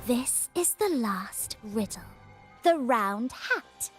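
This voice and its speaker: Vain, theatrical high-voiced young woman. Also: animated, slow. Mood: teasing, mysterious. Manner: theatrical, lilting, savoring words. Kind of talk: video game voice acting. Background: game music.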